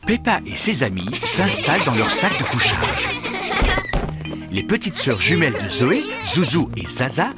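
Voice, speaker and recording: A woman's voice: rumbling low, huge pitch swings, unhurried, over loud music, full character voice. A woman playing the narrator of a children's cartoon, telling the story in a scripted style. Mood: storytelling.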